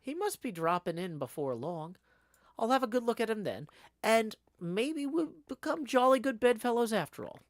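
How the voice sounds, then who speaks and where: Casting: a man as a wary, inquisitive sailor in a novel reading, voice pitched up. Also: very animated, normal speed, dry recording, studio quality, heavily acted. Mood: hopeful. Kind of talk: live reading of a classic novel.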